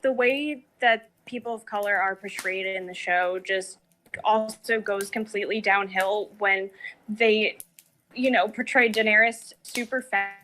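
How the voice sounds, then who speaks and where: A woman's voice: medium pitch, steady tone, a little slow, sharp tone. A woman co-hosting a podcast, giving casual critical opinions in an emphatic way, peppered with filler words.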